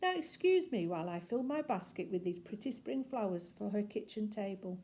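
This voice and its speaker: A woman's voice: medium pitch, lively intonation, moderate pace, warm tone, lightly acted. A woman voicing a sweet, polite little boy wolf in a storybook reading, talking in an eager sing-song way. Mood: cheerful.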